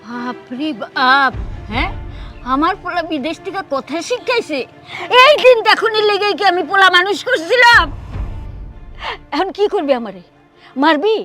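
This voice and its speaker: A woman's voice: very high-pitched, expressive, moderate pace, long pauses, bright, forceful tone, soft background music, full character voice. A woman playing a middle-aged, spiteful and greedy mother-in-law in a TV drama, scolding scornfully with a rural Bangladeshi accent. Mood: wounded, taunting. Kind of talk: melodramatic Bangla TV drama acting.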